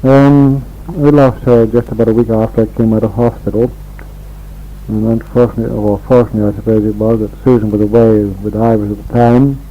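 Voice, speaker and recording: A man's voice: lowish voice, flat delivery, a little fast, slight background noise. An English man in his sixties rambling in a homely way as he records a casual spoken letter to family on an old home tape. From England. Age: 60s. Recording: dull 1960s home reel-to-reel tape.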